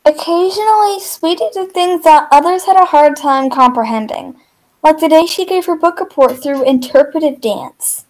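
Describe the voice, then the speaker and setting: A woman's voice: high voice, moderate pace, a little mellow, poor audio. A teenage girl reading a picture book aloud as part of a drama club, recorded over a video call.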